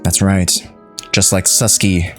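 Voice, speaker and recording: A woman's voice: rumbling low, level tone, slow pace. A woman streaming a game, giving casual live reactions and murmuring short asides as she follows along. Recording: faint game music behind the voice.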